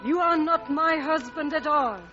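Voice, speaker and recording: An actress playing a distrustful wife in an old-time radio melodrama, on an old recording. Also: female voice, high voice, unhurried, dull audio, music in the background. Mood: accusing, dramatic. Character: distrustful wife confronting a supposed husband.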